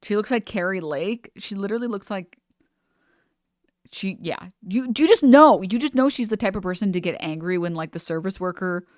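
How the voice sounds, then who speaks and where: A woman's voice: medium pitch, lively intonation, moderate pace, no room sound, clean studio sound. A young woman streamer giving chatty, sarcastic commentary while reacting to a video.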